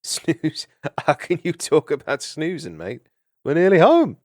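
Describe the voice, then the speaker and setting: A man's voice: fairly high pitch, wildly swooping pitch, measured pace, no room sound, studio quality, pitched above the performer's own voice, heavily acted. A man doing a cheeky, rowdy young mouse thief. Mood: cheerful. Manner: boisterous, laughing, insult-hurling.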